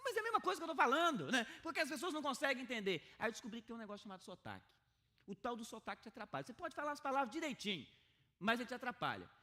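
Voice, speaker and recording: Man, pastor, sermon, fast, high voice, bright tone. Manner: lively, acts out little dialogues with laughs. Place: church.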